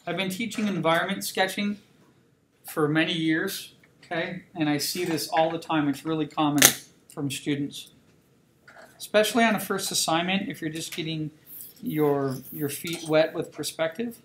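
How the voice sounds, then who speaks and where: A man's voice: fairly high pitch, moderate pace, a bit bright. Roomy-sounding male drawing instructor. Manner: conversational, repeats "okay?" checks with students. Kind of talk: classroom lecture while drawing. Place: classroom. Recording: roomy classroom with faint noise.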